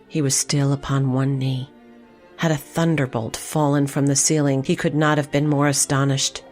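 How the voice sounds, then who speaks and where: A woman's voice: rumbling low, little pitch movement, normal speed, darkish tone, soft background music. A woman narrating a literary story in an audio drama, reading from a script.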